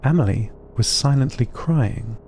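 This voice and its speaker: A man narrating audio fiction as an observant, earnest robot, in a precise and measured way. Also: medium pitch, a little mellow, a little hiss or hum, close to an everyday voice.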